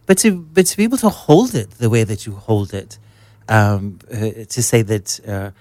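A man co-hosting a radio talk show, asking questions earnestly and haltingly. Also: lowish voice, expressive, darkish tone, no room sound. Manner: earnest and hesitant, halting with uhs. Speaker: radio co-host.

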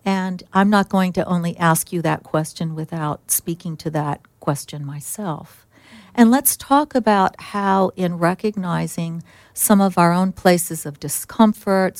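A middle-aged woman, a social work supervisor, answering interview questions in a soft, gentle, thoughtful way. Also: sits low, no echo.

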